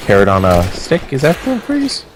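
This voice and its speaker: A man's voice: medium pitch, wildly swooping pitch, moderate pace, slight background noise. A man chatting casually as co-host of a game stream, rambling and swearing freely. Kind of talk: casual chat over gameplay.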